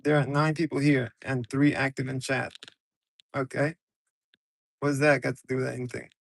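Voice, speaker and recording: A man's voice: mid-range voice, level tone, normal speed, creaky, no echo, low-quality recording. A man from Ukraine hosting a casual, rambling livestream from his car on his phone, talking loose and slangy straight to his viewers. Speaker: livestream host.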